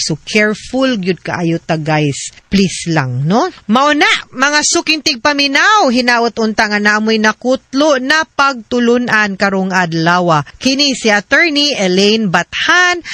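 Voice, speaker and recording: A woman's voice: mid-range voice, a bit bright, no room sound. A Filipino woman with a Cebuano accent, hosting a conversational radio advice segment as a lawyer, explaining directly and slipping into English. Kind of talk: conversational radio advice, reading listener letters and answering. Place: radio production studio.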